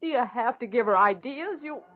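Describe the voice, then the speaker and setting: A woman's voice: a little high, expressive, moderate pace. A woman acting a worldly, teasing newspaper woman with a wisecracking manner, in vintage radio-drama style. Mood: sardonic, teasing. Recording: thin phone-like old radio recording.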